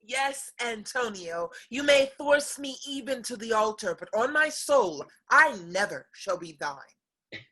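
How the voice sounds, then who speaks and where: A woman's voice: fairly high pitch, expressive, unhurried, pushed and bright, full character voice. A woman playing a distressed but defiant young lady in a period stage comedy, declaiming with passion. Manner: impassioned, declamatory. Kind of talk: theatrical Restoration play acting.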